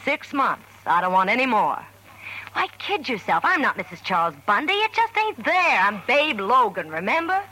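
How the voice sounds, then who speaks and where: A woman's voice: fairly high pitch, sing-song, normal speed, bright, forceful tone, heavily acted. An actress playing a tough, slangy, blunt carnival showgirl near forty in an old-time radio drama. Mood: impatient, defiant. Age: middle-aged. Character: brassy hard-bitten carnival showgirl pushing forty. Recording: noisy vintage radio recording.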